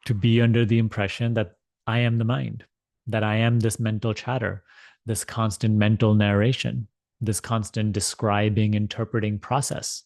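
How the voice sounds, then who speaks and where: A man teaching a guided meditation, speaking in a deliberate, measured manner with long pauses and repeated phrases. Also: fairly low pitch, level tone, gravelly, darkish tone, no room sound, clean studio sound. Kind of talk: guided meditation instruction, spiritual talk.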